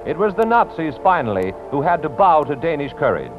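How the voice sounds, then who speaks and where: A dull, old newsreel recording with music playing strongly behind an American man narrating. His delivery is solemn and dramatic, the pitch on the high side in classic 1940s announcer fashion. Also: very animated, brisk. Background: loud orchestral music.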